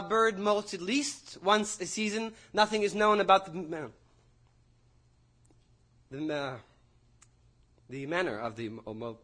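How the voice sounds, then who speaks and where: An intense young student in an audio drama, delivering a lecture with formal precision and an undercurrent of nerves. His voice sits fairly high for a man and has a bright, tense edge. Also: full character voice. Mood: nervous, faltering. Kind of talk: theatrical audio drama acting.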